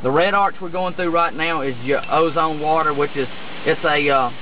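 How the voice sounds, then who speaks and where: Guy talking you through his car wash from the driver's seat, lots of uh's, kinda muffled, loud brushes and sprayers going. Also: fairly high pitch, background noise. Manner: rambling, halting, with many restarts and "uh"s. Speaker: car wash owner giving a tour. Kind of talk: casual walkthrough narration from inside a car.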